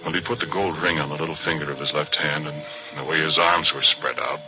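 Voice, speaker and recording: A deep-voiced spooked oil worker. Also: male voice, steady tone, fast. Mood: haunted, uneasy. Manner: folksy plain-spoken grammar. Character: rough oil-field worker man, spooked. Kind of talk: 1940s radio acting. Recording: dull 1940s radio broadcast. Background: music.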